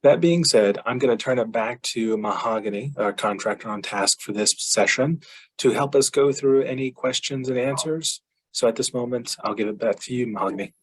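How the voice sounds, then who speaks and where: A man's voice: medium pitch, moderate pace, slight background noise, low-quality recording. A man moderating an online session, giving formal, grateful thanks with small repeats. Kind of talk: webinar moderating.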